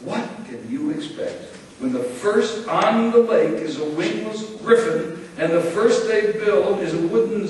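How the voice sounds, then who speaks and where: A man recites poetry in a theatrical, declamatory style, taking his time over each phrase. His fairly high voice rings through a large church, picked up from a distance with long reverb. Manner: theatrical, declamatory, drawn-out emphatic phrasing with dramatic pauses. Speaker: poet reading aloud at a poetry event. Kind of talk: dramatic spoken-word poetry reading. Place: large church interior, live reading. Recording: very echoey church hall, far-off mic, faint noise.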